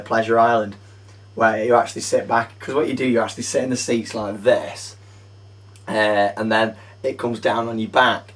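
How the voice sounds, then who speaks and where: Young male host, croaky voice, eager and chatty, mic set a bit far back. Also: mid-range voice, fairly bright tone. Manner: chatty, enthusiastic, frequent fillers. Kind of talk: upbeat theme park news presenting.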